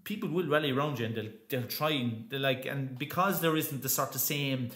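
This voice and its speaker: Man, from Ireland, opera singer guest, chatty, lots of restarts, mid pitch, roomy echo. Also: fairly quick, fairly bright tone. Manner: chatty, many restarts and fillers. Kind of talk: casual interview talk.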